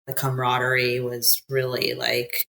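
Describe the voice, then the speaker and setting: An American woman speaks as a podcast guest, her voice strikingly low yet bright and forward. She talks animatedly and laughs easily, often breaking off and restarting her sentences. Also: monotone, normal speed, creaky. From United States. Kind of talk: conversational interview.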